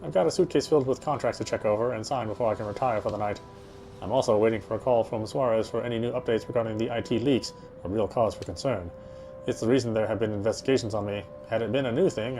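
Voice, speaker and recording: A man's voice: mid-range voice, a little mellow. A man reading first-person story narration aloud in a dramatic way as he plays a visual novel.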